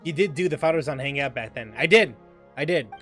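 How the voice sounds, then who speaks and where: A man giving casual, rambling live commentary as a game streamer, halting as he searches for words. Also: fairly high pitch, a bit bright, soft background music.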